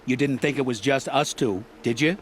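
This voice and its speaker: A man acting a debt-ridden farmer in a video game, cornered and stubborn. He speaks at a middling pitch with a defensive, insistent edge. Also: moderate pace, a little hiss or hum, close to an everyday voice. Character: desperate, stubborn debt-ridden male farmer turned hunter. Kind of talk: video game cutscene dialogue.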